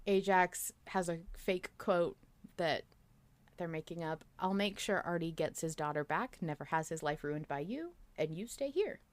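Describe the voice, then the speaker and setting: Low-voiced woman chatting casually, close and dry. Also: animated, unhurried, dry recording. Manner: informal, peppered with "like" and mild swearing. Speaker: podcast co-host. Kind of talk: casual chat about fan theories, reading listener comments aloud.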